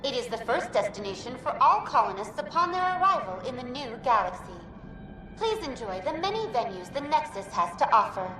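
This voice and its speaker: A female virtual assistant in a sci-fi game, high and very lilting. She is upbeat and formal, like a scripted customer-service greeter, in an echoey space with clear music behind her. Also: very animated, brisk, far from the mic. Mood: upbeat, welcoming. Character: cheerful, helpful female virtual intelligence greeter program. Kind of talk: scripted automated welcome announcement.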